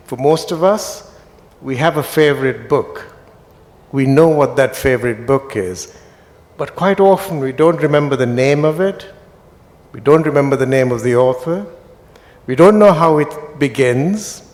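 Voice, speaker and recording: Man, panel author, reflective, mid pitch, long hall reverb. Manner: reflective, builds to emphatic points. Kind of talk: festival panel talk. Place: literary festival stage.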